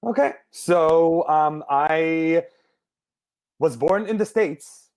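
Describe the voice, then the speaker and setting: A man's voice: fairly high pitch, drawn-out words, darkish tone, clear, resonant tone. A man, a guest singer telling his life story in a casual, candid, rambling interview. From Israel. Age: 30s.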